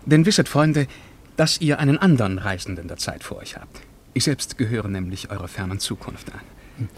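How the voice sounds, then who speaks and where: An actor playing a grandiloquent, self-important scientist from the far future in a German radio play, with florid, ceremonious delivery. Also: male voice, medium pitch, animated, normal speed, slight background noise, close to an everyday voice. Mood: proclaiming.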